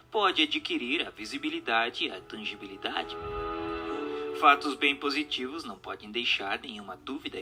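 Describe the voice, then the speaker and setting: A man with a Brazilian Portuguese accent reads a book aloud as a narrator, in formal, measured, dramatic storytelling. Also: mid-range voice. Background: music.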